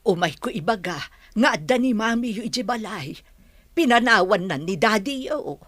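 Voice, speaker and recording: A woman's voice: mid-range voice, lively intonation, normal speed, a bit bright, slight background noise, lightly acted. A woman playing a concerned elderly mother and grandmother in an Ilocano radio drama. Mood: sorrowful.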